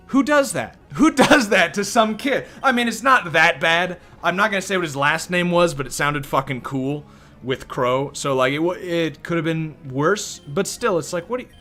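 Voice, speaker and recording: A man streaming a video game, chatting loosely and swearing often as he answers his viewers. His voice is bright and forward, with faint game music under it. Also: on the high side, lively intonation, a little slow. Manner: profane, rambling, reacting to chat. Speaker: let's play streamer. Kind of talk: casual gameplay commentary.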